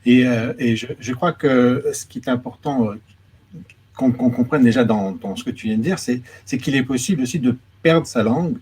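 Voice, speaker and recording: Husky-voiced man asking questions hesitantly. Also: mid-range voice, moderate pace, a little mellow, low-quality recording. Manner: hesitant, repeats words while building questions. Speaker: interviewer, education attaché. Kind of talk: moderated conversation. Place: New York.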